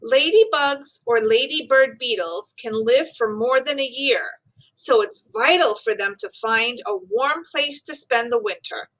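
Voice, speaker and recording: Female teacher for children's read-aloud: high-pitched, unhurried, expressive and deliberate. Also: poor audio.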